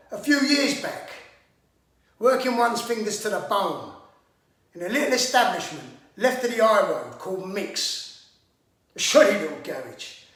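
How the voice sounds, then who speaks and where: Man acting a swaggering high-pitched brawler. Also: a bit bright, full character voice. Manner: theatrical, swaggering, sweary. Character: hot-tempered working-class brawler with mock-grand speech. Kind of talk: theatrical monologue. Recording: echoey room, distant microphone.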